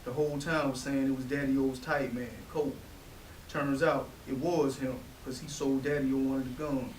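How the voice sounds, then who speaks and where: A man's voice: medium pitch, little pitch movement, moderate pace, lightly acted. Man playing a brash street-tough brother, roomy and distant. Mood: grave, remorseful. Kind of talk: staged play reading. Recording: distant roomy stage recording with hiss.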